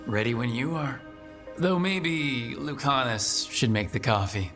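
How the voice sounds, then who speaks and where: A steady, encouraging hero. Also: male voice, medium pitch, measured pace, soft background music. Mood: light, friendly. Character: steady, encouraging young male leader of heroes. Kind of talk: video game voice acting.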